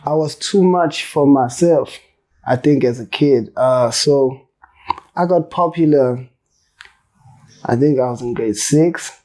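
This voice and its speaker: Relaxed slangy rapper man, mellow voice. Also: medium pitch, slow, long pauses, low-quality recording. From South Africa. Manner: loose, slangy, swears freely, laughs while telling stories. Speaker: rapper, interview guest. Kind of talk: casual interview chat.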